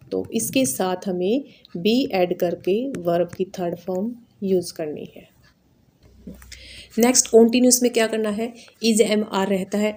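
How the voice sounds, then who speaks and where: A woman's voice: mid-range voice, warm tone. A woman with an Indian accent teaching a grammar lesson, explaining step by step in an instructional, repetitive way and checking that listeners follow. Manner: instructional, repetitive, checking understanding with short tags.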